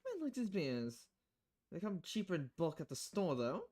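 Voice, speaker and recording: A man's voice: on the high side, huge pitch swings, moderate pace, a little mellow. A man giving casual gameplay commentary as a streamer. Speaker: game streamer.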